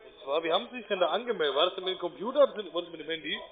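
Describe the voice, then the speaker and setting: A man with a higher-pitched voice talks quickly over a tinny telephone line. He sounds like a chatty call centre agent, politely asking one question after another. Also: expressive. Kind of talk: cold sales call. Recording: tinny narrowband phone line.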